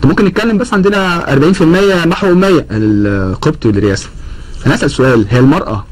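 Rapid-fire Egyptian man arguing a point. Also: on the high side, slight background noise. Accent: Egyptian Arabic. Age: 30s. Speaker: guest. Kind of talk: political talk show discussion. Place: TV studio, indoors. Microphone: lapel mic.

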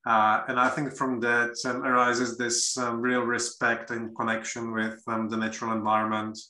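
A man with a Czech accent speaking as a panelist, thoughtful and pausing often to find his words. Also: mid-range voice, monotone, pushed and bright, reverberant. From Czech Republic. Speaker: panelist, university academic. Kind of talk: measured panel discussion remarks.

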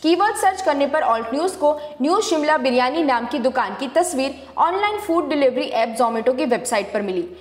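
High-pitched woman narrating news, echoey. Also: lively intonation, moderate pace, hardly any pauses. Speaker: fact-check video narrator. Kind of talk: scripted news explainer narration.

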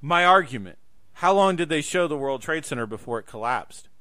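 A man talks as a podcast host in a casual conversational way. His voice is fairly high for a man, with a bright, forceful edge, and he repeats phrases for emphasis. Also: lively intonation, fairly quick.

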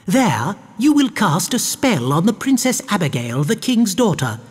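A man's voice: sits high, sing-song, unhurried, slightly rough, in a large hall, pitched above the performer's own voice, full character voice. A man voicing an imperious, plaintive, scheming baroness in a storybook tale. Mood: scheming, commanding. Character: scheming, melodramatic baroness desperate for an heir. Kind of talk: storybook character voice.

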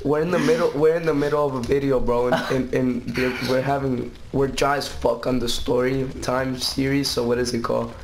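A man's voice: mid-range voice, steady tone, a little fast, vocal fry, few pauses, a little hiss or hum, low-quality recording. A young man hosting a video, chatting casually in a teasing, profane, slangy way. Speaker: video host. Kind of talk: casual joking chat over gameplay.

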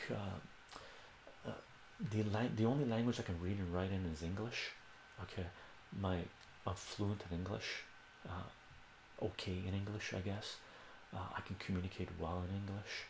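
A man's voice: low-pitched, fairly even intonation, moderate pace, gravelly, reverberant, noisy background. An older man whose first language is Armenian, hosting a casual livestream from a home room, rambling and correcting himself as he answers viewers' questions. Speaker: livestream host.

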